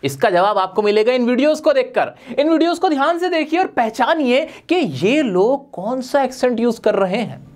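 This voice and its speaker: A man teaching spoken English in an energetic online lesson, emphatic and full of rhetorical questions aimed straight at viewers. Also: very high-pitched, normal speed.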